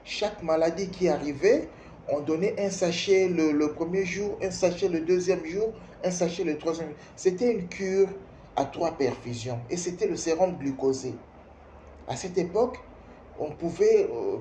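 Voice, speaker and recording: A man's voice: sits high, warm tone. A man in his fifties from Kinshasa with a Congolese accent, speaking emphatically to parents, repeating words and asking rhetorical questions. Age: 50s. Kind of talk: spoken opinion piece addressing parents. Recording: roomy echo, faint background noise.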